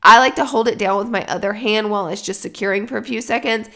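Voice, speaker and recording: A woman vlogger with a stuffy, slightly raspy voice, chatting upbeat and straight to her viewers as she walks through a tutorial. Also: mid-range voice, quick pace, pushed and bright. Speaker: beauty vlogger. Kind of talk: sponsored tutorial vlog.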